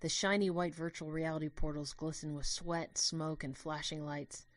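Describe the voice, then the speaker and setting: A woman giving an earnest, expressive first-person narration of a dramatic story. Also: sits low, moderate pace, no room sound.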